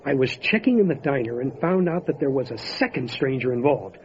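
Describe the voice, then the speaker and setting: An actor playing a steady, resolute county sheriff in an old time radio drama, matter-of-fact in manner. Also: male voice, mid-range voice, brisk, natural voice. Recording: dull lo-fi audio with light noise.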